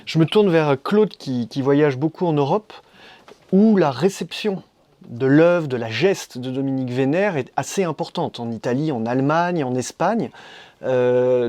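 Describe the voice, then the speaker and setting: A man with a fairly high voice posing long, prepared questions to his guests. He speaks in a measured, deliberate way, recorded in a dry, close sound. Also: dark tone, no echo. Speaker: interviewer.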